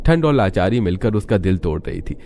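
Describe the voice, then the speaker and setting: Mellow, low-voiced man narrating a story. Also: sing-song, brisk, a little hiss or hum.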